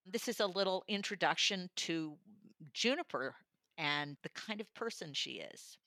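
Female reviewer, conversational. Low register with a bright, forward tone. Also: animated, a little slow, no room sound. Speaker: audiobook reviewer, studio guest.

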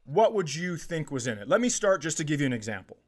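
A middle-aged American man in casual comedic conversation, telling stories in an animated way and acting out what others say. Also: a little high, fast. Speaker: comedy podcast host.

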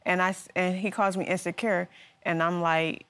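A woman giving her side of a dispute in court, earnest and aggrieved, sometimes hesitant. Her voice sits a little lower than most women's. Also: flat delivery, moderate pace, fairly bright tone, clean tone. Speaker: litigant. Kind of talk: courtroom testimony.

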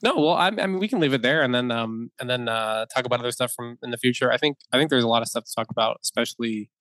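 A man's voice: medium pitch, quick pace, fairly bright tone, no room sound. A man in his thirties, a wedding photographer, chatting as a podcast guest, rambling with many fillers and restarts and laughing easily. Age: 30s. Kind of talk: casual podcast conversation.

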